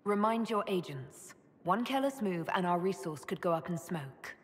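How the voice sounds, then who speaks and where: A woman's voice: fairly low pitch, animated, normal speed, husky. An actress playing a composed, direct female commander who leads agents in a fantasy game. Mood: composed, decisive. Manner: direct, questioning. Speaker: voice actor. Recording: loud music behind voice.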